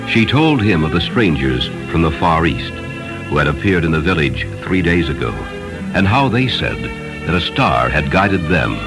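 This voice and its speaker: A deep-voiced man narrates a literary story in a solemn, measured way. His intonation rises and falls expressively, and music plays clearly behind him. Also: poor audio. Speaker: audiobook narrator. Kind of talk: scripted dramatic storytelling narration.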